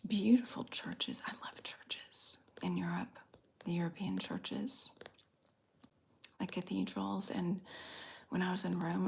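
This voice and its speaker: Slow, gravelly whispering Southern woman. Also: on the low side, slight background noise. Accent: slight Southern US. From Southern United States. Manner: soft unvoiced whisper, unhurried and drawn-out. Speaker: ASMR streamer reading viewer chat. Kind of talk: ASMR whispered live chat.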